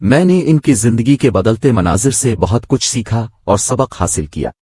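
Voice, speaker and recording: A man's voice: medium pitch, lively intonation, normal speed, darkish tone, slightly rough, no echo, clean studio sound. A man reading a scripted moral story as its narrator.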